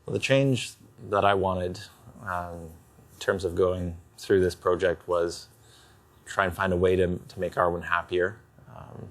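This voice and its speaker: A man giving a candid personal account in a hesitant, halting way. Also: sits low. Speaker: husband in couples counselling.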